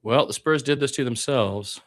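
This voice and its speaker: A man's voice: medium pitch, moderate pace, fairly bright tone. A man doing live radio-style basketball play-by-play as a partisan fan, with exasperated asides about his team.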